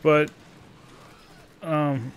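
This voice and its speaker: Man, early 30s, somewhat high-pitched, casual game commentary, halting and rambling, profane, self-deprecating, light noise behind. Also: fairly even intonation. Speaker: gaming video creator.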